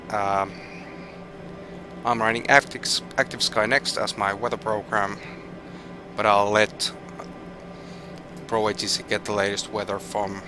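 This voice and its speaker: Finnish guy streaming a flight sim, slow and unhurried, music playing behind. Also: on the low side. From Finland. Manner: unhurried, reads out weather and route, hesitant restarts. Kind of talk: live gameplay commentary, thinking aloud.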